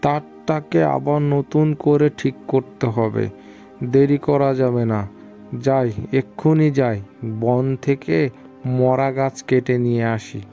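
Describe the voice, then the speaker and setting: A man's voice: mid-range voice, takes it slowly, creaky, mellow. A man playing a stubborn old village weaver in a cartoon, muttering to himself and exclaiming as he complains. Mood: resolute, fretting. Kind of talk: cartoon voice acting. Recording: dull lo-fi audio with music behind.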